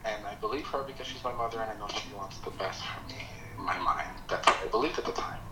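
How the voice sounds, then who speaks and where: A man from Nigeria whose first language is Yoruba, telling his life story slowly and reflectively as a podcast guest. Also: on the low side, echoey room, heavy background noise. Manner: slow, reflective, hesitant with pauses. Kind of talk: personal storytelling.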